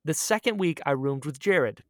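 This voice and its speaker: A man's voice: a little high, lively intonation, normal speed, fairly bright tone, no room sound. A man chats casually on a podcast in a voice that swings up and down a lot. He is joking and self-deprecating, and laughs readily. The recording is dry, with hardly any room sound. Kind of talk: casual chatty banter.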